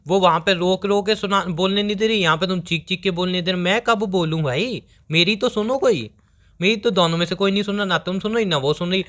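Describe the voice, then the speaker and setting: A man's voice: fairly high pitch, lively intonation, fairly quick, a bit bright. A young man playing an exasperated boyfriend who keeps pleading to be heard in a relationship argument. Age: young adult. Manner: placating, repetitive, whiny pleading.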